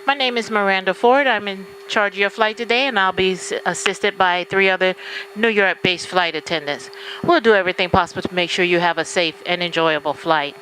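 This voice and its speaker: Lady flight attendant rattling off the boarding script on the plane pa, quick, some cabin hum. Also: medium pitch, a bit bright, low-quality recording. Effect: aircraft PA system. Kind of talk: formal scripted cabin announcement. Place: inside an airplane cabin, over the PA. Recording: humming cabin noise over PA.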